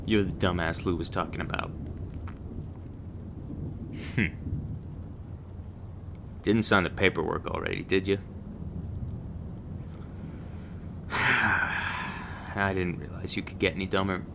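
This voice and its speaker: A man playing a jaded veteran monster-hunting agent in an ASMR roleplay, curt, deadpan and contemptuous. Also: low voice, takes it slowly, creaky, long pauses, background noise, pitched below the performer's own voice, exaggerated voice. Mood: cold, dismissive. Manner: deadpan, curt, sarcastic, insulting.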